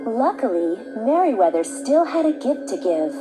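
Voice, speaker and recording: Lively, higher-voiced woman storyteller over music. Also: moderate pace. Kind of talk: scripted fairy tale narration for children. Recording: background music.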